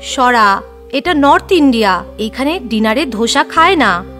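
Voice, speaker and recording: A woman playing a practical middle-aged mother in an audio story, gentle but firm. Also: fairly high pitch, animated, unhurried, faint music behind. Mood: firm, soothing.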